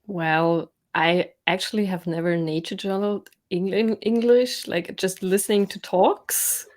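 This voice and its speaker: A woman's voice: mid-range voice, fairly even intonation, unhurried. German-accented woman, hesitant chat. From Bavaria, Germany. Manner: hesitant, many restarts and fillers, soft-spoken. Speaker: guest, nature journaling educator. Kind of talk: casual interview chat.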